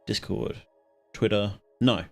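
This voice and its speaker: A man hosting a livestream, chatting casually with viewers in a rambling, halting way and often interrupting himself. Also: on the low side, little pitch movement, vocal fry, slightly rough, faint music behind. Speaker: livestream host.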